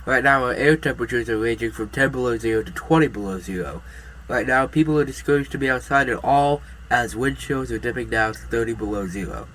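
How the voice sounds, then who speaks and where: A man plays a television weather forecaster in an audio drama. His voice is mid-pitched and formal, with measured delivery over faint background noise. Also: a bit bright, natural voice, low-quality recording. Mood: matter-of-fact. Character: male TV weather forecaster reporting record cold. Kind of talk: weather report, amateur drama.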